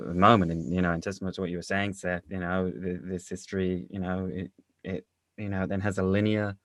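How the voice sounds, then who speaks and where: A man with a low voice and very even pitch talks as an interview guest. His delivery is halting and repetitive, and the sound is dry and close. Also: no room sound. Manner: halting, repeats himself. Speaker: interview guest, writer and director. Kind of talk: conversational interview.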